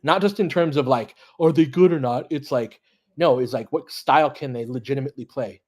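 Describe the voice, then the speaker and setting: Slightly husky man casually calling basketball. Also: medium pitch, brisk, a little mellow. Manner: conversational, analytical, informal with mild swearing. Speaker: host of a fan audio play-by-play stream. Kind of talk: casual live basketball commentary, chatting with listeners.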